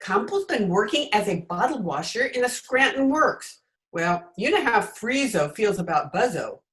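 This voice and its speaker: A woman's voice: mid-range voice, sing-song, moderate pace, fairly bright tone. A woman playing a brusque, dramatic señora in an old-time radio comedy, speaking emphatically. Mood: urgent. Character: sharp, dramatic señora of a corrupt island regime.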